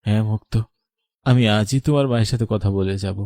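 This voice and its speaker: A man's voice: on the low side, brisk, warm tone, no room sound, studio quality, natural voice. Man playing an earnest lovestruck young cartoon suitor. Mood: tender. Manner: earnest, dramatic, emotional. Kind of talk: melodramatic cartoon voice acting.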